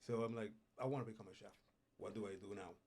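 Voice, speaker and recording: A middle-aged man from Puerto Rico, a culinary instructor, telling his life story in a relaxed way, with frequent pauses and "uh"s, in a studio interview. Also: lowish voice, slightly rough. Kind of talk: casual interview. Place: radio studio.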